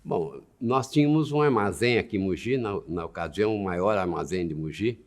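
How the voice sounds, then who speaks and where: A man in his nineties, a war veteran, recalling his youth slowly with pauses and fillers. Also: low voice, animated. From Brazil. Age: 90s. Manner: slow, halting, with many pauses and fillers.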